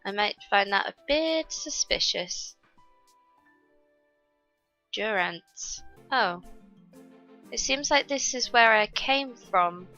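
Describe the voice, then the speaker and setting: Woman, gaming commentary, crisp bright tone, slightly muffled lo-fi recording, faint music behind. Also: medium pitch, lively intonation, takes it slowly, long pauses. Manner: chatty, explains each step to viewers. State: recovering from a cold. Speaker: gaming YouTuber. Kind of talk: casual gameplay commentary.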